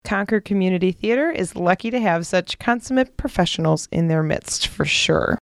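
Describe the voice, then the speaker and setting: An actress playing a gentle, shy, sweet young American sister, earnest and casual, in a naturalistic modern audio drama. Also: female voice, fairly low pitch, expressive, moderate pace, dry recording, clean studio sound. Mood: warm, teasing.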